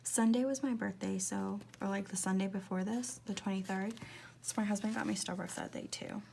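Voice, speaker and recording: Woman, twenties, casual voice-over, rambling and confiding, soft whispered asides, slight vocal fry. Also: mid-range voice, fairly even intonation, fairly quick, a little hiss or hum. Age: 20s. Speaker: planner vlogger. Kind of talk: casual chatty voice-over while decorating a planner.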